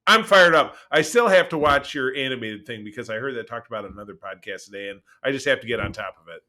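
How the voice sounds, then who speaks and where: Bright, emphatic male podcast co-host. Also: medium pitch, fast talker. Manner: animated, emphatic, repeats phrases. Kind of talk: casual chat about film and TV news.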